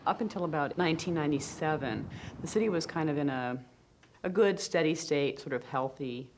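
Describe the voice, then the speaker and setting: A speaker explaining economic history in a lively way during a documentary interview. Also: mid-range voice, a little fast, background noise. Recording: clear music bed under voice.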